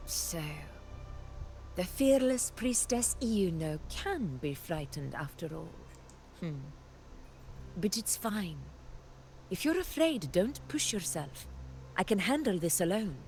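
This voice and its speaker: A woman voicing a proud, confident warrior ruler in a video game, with a measured, assured and theatrical delivery. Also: medium pitch, big pitch swings, a little mellow, slight background noise, rough recording. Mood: confident, teasing. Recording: music behind the voice.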